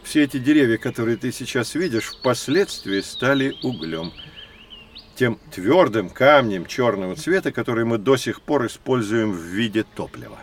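A man's voice: mid-range voice, slight background noise, natural voice. A man playing a kindly, learned professor who explains things playfully in an educational audio drama. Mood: explanatory. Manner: explanatory, gently playful, measured. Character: kindly, learned male professor guiding children through prehistory.

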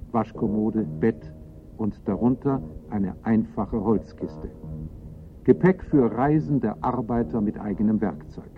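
Sober narrator, thin phone-like sound. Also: male voice, on the low side. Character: sober present-tense narrator of a crime story. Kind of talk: scripted radio drama narration.

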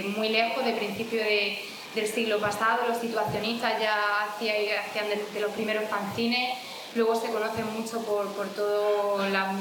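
A woman from Jaén with an Andalusian accent, giving an informal public talk in a halting way, with many restarts. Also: a little high. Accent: Andalusian Spanish. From Jaén, Spain. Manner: hesitant, many restarts and fillers. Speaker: speaker presenting a fanzine. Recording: echoey room with background noise.

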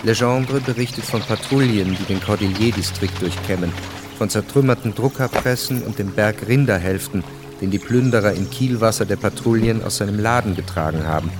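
A man narrating a historical radio drama as a measured storyteller. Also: fairly low pitch, over music, lightly acted.

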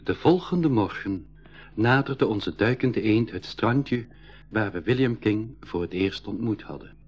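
A man playing a young adventurer who narrates a scripted radio drama in the first person, with a husky voice. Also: on the low side, lively intonation, a little slow. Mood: reflective. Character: boy adventurer narrating, privately anxious but keen. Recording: dull 1980s radio recording. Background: music.

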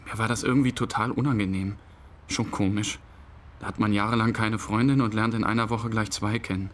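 A man's voice: lowish voice, fairly even intonation, a little fast, fairly bright tone, natural voice. An actor playing a shy, awkward young man in a radio play, speaking in an understated, hesitant way. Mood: uncomfortable. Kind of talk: naturalistic radio play acting, interview-like narration.

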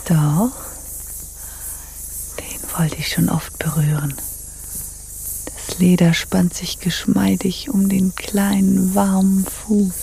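An actress playing a brooding, sensual pirate captain in a radio drama, speaking in intimate, half-whispered musing. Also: female voice, medium pitch, little pitch movement, slow pace, creaky, pauses often, warm tone, slight background noise. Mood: sensual, intrusive. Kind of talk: German radio drama acting.

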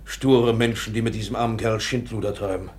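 An actor playing a grave, courteous gentleman in a radio play, talking in a measured, polite way. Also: lowish voice, fairly even intonation, natural voice. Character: grave, courteous older gentleman, the boy's guardian.

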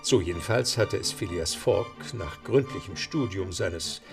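A man's voice: low-pitched, unhurried, vocal fry. A man narrating an audio drama in a scripted storytelling style with emphatic stresses. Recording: music behind the voice.

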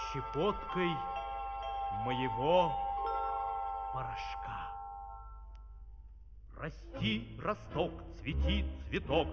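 High-voiced, declamatory professor. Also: male voice. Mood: exultant incantation. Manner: declamatory, solemn demonstrating. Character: proud, kindly professor and inventor, earnest showman. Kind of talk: theatrical children's opera record acting. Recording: 1970s vinyl record, long reverb.

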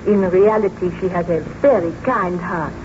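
A woman's voice: on the low side, moderate pace, close to an everyday voice. Woman acting earnest baroness, muffled vintage radio. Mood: gentle. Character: gentle, anxious young baroness, devoted wife. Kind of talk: 1930s radio serial acting. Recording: noisy muffled 1930s radio recording.

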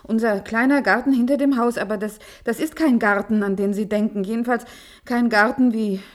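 A woman's voice: a little high, fairly even intonation, moderate pace, lightly acted. An actress in a German radio play as a commanding, visionary woman leading an experiment, speaking with urgent intensity and faltering when unsettled. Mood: bewildered, agitated.

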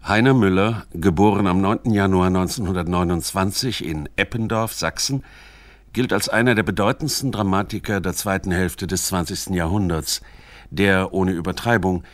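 A man's voice: deep voice, moderate pace. A man reading scripted narration for a radio documentary. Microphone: close studio microphone.

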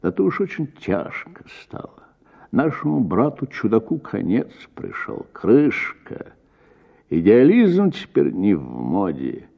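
A man's voice: lowish voice, wildly swooping pitch, takes it slowly, gravelly, dull audio, exaggerated voice. A man playing an old idealist landowner in an audio story, sighing, lamenting and grandiloquent. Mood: self-pitying lament. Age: older. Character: old idealist landowner, self-pitying, grandiloquent, drinking cognac.

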